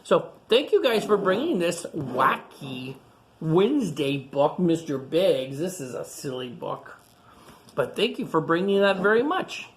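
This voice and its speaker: Woman, low pitch, children's storytime reader, simple repetitive phrasing, gentle questions, picture-book read-aloud delivery. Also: big pitch swings, a little slow.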